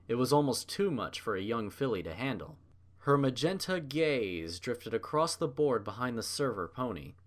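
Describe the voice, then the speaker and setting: A man's voice: mid-range voice, a bit bright. A man reading a scripted story as its narrator. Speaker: narrator of a fan fiction reading.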